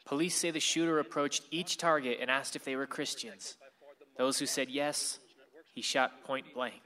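Actor as a bright-toned, grim news bearer. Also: male voice, mid-range voice, fairly even intonation, normal speed, close to an everyday voice. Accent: American. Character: grim American man reporting breaking news. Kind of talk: radio play acting, news reporting. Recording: long hall reverb.

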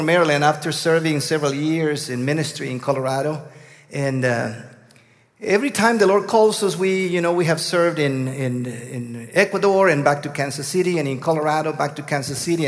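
A middle-aged man with a Guatemalan Spanish accent tells a long story from the pulpit. His voice sits a little high, and he halts and restarts often. The sound rings with the long reverb of a church hall. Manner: halting, many restarts. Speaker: guest preacher. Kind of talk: storytelling sermon.

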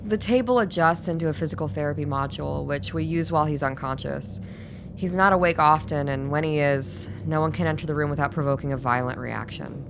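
A woman acting in a radio play as a composed, tough middle-aged doctor, measured, formal and probing. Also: low-pitched, creaky, noisy background. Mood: clinical, probing. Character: composed, tough late-forties female doctor with hidden agenda.

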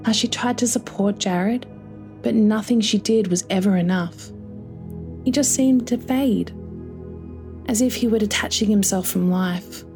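Woman narrating a story over soft music. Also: mid-range voice, a little slow.

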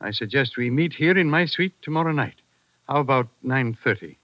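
A man's voice: medium pitch, animated, moderate pace, no echo, natural voice. An actor playing an anxious, unheroic businessman, worried and hesitant, in an old-time radio drama. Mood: uneasy, businesslike. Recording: dull, dry old radio recording.

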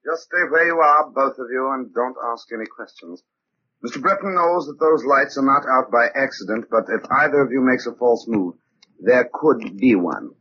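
A man's voice: medium pitch, animated, normal speed, pushed and bright. An actor playing a coolly threatening gunman with a clipped, commanding manner in a 1940s radio thriller. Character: menacing gunman hidden in darkness, coolly threatening. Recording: dull, noisy 1940s radio recording.

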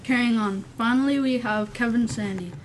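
A woman's voice: fairly high pitch, normal speed, natural voice. A young woman in an amateur radio play, playing a formal announcer in ancient Athens. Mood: formal, presenting. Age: young adult. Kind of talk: amateur old-fashioned radio play acting. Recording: clearly noisy amateur recording with clicks.

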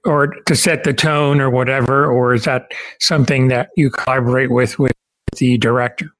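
A man hosting an interview in a casual, conversational way, somewhat halting as he forms his questions. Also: medium pitch, steady tone, a bit bright, poor audio. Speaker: podcast host interviewer.

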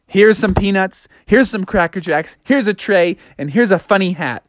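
A man's voice: sits high, normal speed, no room sound, pitched above the performer's own voice, close to an everyday voice. A man voicing a brusque, casual food-vendor boss at a ballpark in a storybook tale. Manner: brusque, casual, dismissive slang.

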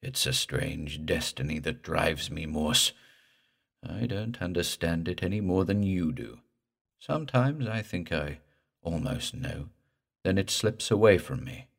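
Male narrator as undead drowned man, deep guttural gurgle, slow creepy drawl, implacable, horror storybook. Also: creaky, heavily acted. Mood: menacing.

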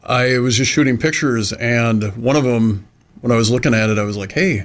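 A man with a medium-pitched voice talks as a guest investigator. He recounts his experiences casually, like someone chatting in an interview. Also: fairly quick, slight background noise. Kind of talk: casual anecdote telling.

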